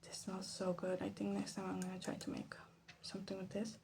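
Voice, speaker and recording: Woman, ASMR host: hushed, half-whispered and crackly with fry, thinking aloud, faint noise on a phone recording. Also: mid-range voice, completely flat delivery, a little fast. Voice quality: soft, breathy half-whisper with creaky fry. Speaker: vlog host. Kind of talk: ASMR casual commentary. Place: her bedroom.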